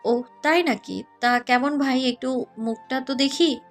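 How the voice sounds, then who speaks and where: A woman's voice: high voice, unhurried, faint music behind. A woman playing a pert, nosy young female college student in an audio story, with a questioning manner. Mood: suspicious, teasing. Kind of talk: dramatized audio story acting.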